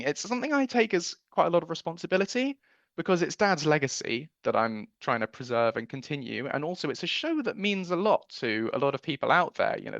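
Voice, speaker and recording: A man's voice: mid-range voice, creaky, a bit bright, dry recording, muffled recording. A man giving a conversational radio interview as a guest producer, speaking in an earnest, enthusiastic way.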